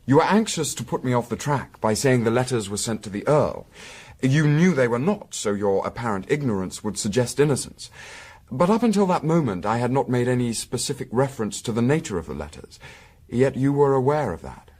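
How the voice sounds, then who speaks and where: A man's voice: mid-range voice, lively intonation, a little mellow, slightly rough. Young Victorian Englishman, period audio drama. Shrewd, discreet, formal and drily ironic. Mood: cool, incisive. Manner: measured, formal, dryly ironic. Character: discreet, shrewd young male Victorian student posing as investigator.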